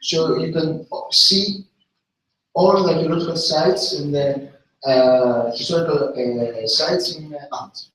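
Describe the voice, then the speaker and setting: Halting man in an echoey hall. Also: fairly high pitch, fairly bright tone, a little hiss or hum. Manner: halting, many fillers and restarts. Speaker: tour guide presenting a city tour. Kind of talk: unscripted presentation to a group. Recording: very echoey room from a distance.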